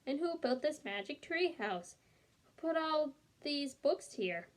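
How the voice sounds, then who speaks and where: Female reader as young boy in a children's storybook: puzzled, earnest, questioning, raised pitch. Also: animated, a little slow.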